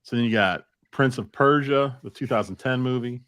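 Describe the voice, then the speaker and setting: A man's voice: mid-range voice, normal speed, fairly bright tone, no echo. Middle-aged man hosting casually, dry sound. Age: 50s. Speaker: video game podcast host. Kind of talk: casual chat.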